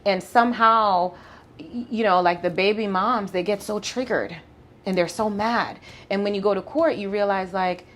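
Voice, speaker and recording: A woman with a Jamaican accent, an attorney explaining law in a casual interview, talking quickly and laughing often. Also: medium pitch, fairly bright tone. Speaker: family law attorney, podcast guest.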